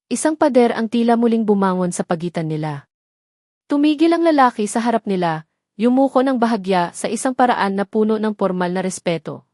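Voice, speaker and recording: A woman narrates a romantic drama in a measured, dramatic way. Her voice sits at a middle pitch, recorded close and dry with no room around it. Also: a little mellow, dry recording. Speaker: story narrator.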